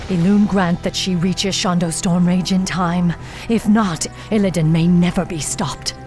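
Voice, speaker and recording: An actress playing a stern, bitter night elf warden commander in a fantasy game, speaking formally and with intensity. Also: female voice, lowish voice, fairly even intonation, a little slow, over loud music, natural voice. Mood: resolute. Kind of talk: fantasy game cutscene acting.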